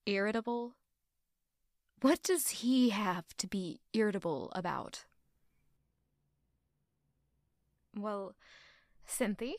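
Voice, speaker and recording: A woman's voice: mid-range voice, animated, slow pace, no echo, studio quality. A woman playing a stubborn, guarded young noblewoman in an audio drama, speaking in a curt, sulky way. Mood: stubborn, sarcastic.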